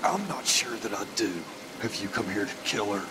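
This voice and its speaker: An actor plays a deranged male veteran in a game drama, a soldier who believes he fights for God. His voice is low and eerily subdued. Heavy rain and water noise run loudly behind him. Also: lively intonation, moderate pace, lightly acted. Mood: wary, searching. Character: unhinged war veteran, soldier fixated on God. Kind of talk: video game dramatic acting.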